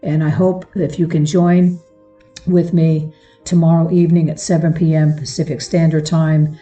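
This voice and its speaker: A woman's voice: low-pitched, flat delivery, normal speed, warm tone, soft background music. A woman giving an informal devotional talk to her followers, speaking in an earnest and affectionate way. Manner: earnest, affectionate address to listeners. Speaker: host of a religious teaching show. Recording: roomy echo, far from mic.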